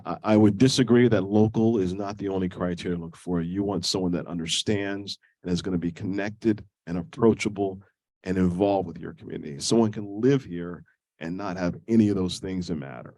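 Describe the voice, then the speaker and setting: A man's voice: low voice, fairly quick, darkish tone, no echo. An older man with a cold, sounding congested, giving an emphatic presentation to a school board as a search firm consultant, often asking "right?". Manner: emphatic, repeats himself, frequent ums and rhetorical "right?". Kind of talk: persuasive presentation at a public meeting. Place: board meeting room.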